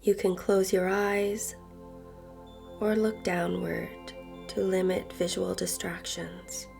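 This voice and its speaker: A woman leading a guided meditation in a soft voice that turns breathy at phrase ends, gentle and unhurried with long pauses. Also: fairly low pitch, level tone. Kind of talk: guided meditation, slow and soothing narration. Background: soft background music.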